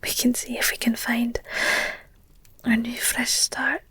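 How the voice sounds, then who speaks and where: A woman playing a devoted, guilt-ridden werewolf girlfriend in an intimate audio roleplay, speaking earnestly and haltingly in a breathy whisper. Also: fairly high pitch, little pitch movement, fairly bright tone, no echo, exaggerated voice. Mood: tentative, tender hope. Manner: hesitant, halting, earnest, tender.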